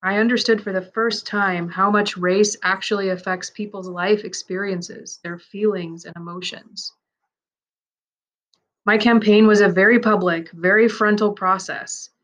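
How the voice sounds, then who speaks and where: A woman reads a personal account aloud at a middle pitch, steady and measured. Her delivery is reflective and earnest, keeping an even course through the first-person telling. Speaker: narrator reading a man's first-person story.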